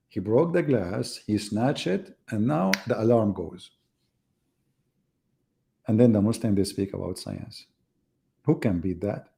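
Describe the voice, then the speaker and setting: A man's voice: fairly low pitch, gravelly, dark tone. A middle-aged man hosting a livestream, taunting his audience with sarcastic rhetorical questions. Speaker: livestream host. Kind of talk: mocking livestream monologue.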